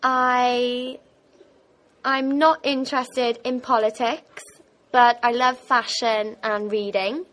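A woman's voice: fairly high pitch, level tone, slow, a bit bright. A cheerful woman from Cardiff, describing herself. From Cardiff, Wales. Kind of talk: self-introduction.

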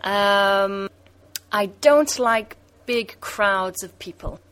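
Woman, slow and plain, mid voice, self intro. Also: medium pitch, a bit bright, clean tone. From Liverpool, UK.

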